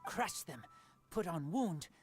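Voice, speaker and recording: A man playing a wild boy raised by wolves, speaking in terse, halting, broken sentences for a video game, earnest in tone. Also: on the high side, expressive. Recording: game audio with music behind.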